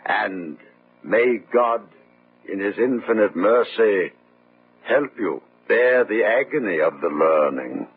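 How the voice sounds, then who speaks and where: An actor playing a grieving, righteous widower in a radio drama, solemn and oratorical like a preacher. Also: male voice, lowish voice, animated, slow, breathy edge, full character voice. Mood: impassioned, solemn. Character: grieving, righteous widower passionately rebuking his master. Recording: narrow-band old radio recording.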